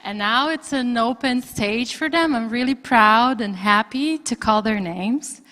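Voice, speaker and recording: A woman hosting a conference, introducing speakers in a relaxed manner. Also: fairly high pitch, measured pace. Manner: warm, relaxed emcee. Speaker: event host. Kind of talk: emcee introductions. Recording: long hall reverb.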